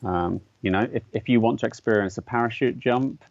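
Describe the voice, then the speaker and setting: A man's voice: medium pitch, brisk, no room sound, dull audio. A man, an artist and educator, speaking as a guest in a conversational interview, thoughtful and hesitant, with many fillers and restarts. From English-speaking country. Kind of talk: conversational interview explaining ideas.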